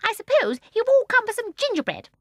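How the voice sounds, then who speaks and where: A shrill, cackling tiny ancient shopkeeper. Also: female voice, wildly swooping pitch, a little fast, no echo, clean studio sound, full character voice. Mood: gleeful, chatty. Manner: switches between gleeful chatter and soft fierce menace.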